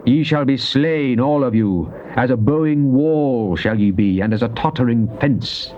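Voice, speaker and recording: A man acts a devout psalmist in a dramatized Bible recording, praying fervently. He declaims solemnly in measured phrases. The old cassette sound is dull, with a faint hiss behind him. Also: mid-range voice, a little mellow, natural voice. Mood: solemn, stern. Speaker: scripture reader. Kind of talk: dramatized King James Bible reading.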